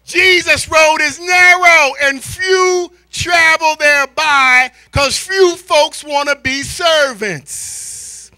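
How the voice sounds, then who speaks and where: A middle-aged American man preaching a sermon with theatrical, drawn-out emphasis, telling stories and addressing his congregation through a handheld microphone. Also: very high-pitched, no room sound. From born in Spain, American military family. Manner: animated, theatrical, drawn-out emphasis, swinging from quiet asides to shouts. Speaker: church elder preaching. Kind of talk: sermon with storytelling and call-and-response to congregation. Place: large church hall, indoors.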